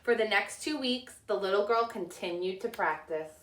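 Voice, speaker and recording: A woman narrating a children's storybook as a teacher reading aloud, with deliberate, expressive delivery. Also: medium pitch, recorded from a distance. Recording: echoey room, away from the mic.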